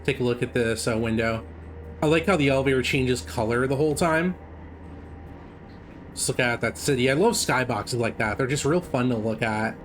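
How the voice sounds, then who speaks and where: A man's voice: mid-range voice, moderate pace, slight background noise. A man giving casual live commentary as he plays through a game speedrun. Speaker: gaming streamer, speedrun commentator. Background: game music and sound.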